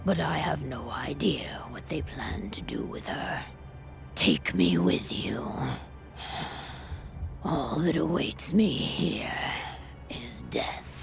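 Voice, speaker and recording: A woman playing a grave, proud, wary pack leader in a video game, with a breathy, gravelly voice and solemn delivery. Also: extremely low pitch, big pitch swings, in a whisper, faint music behind, full character voice. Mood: weary, grave. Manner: deliberate, halting, solemn. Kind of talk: video game cutscene acting.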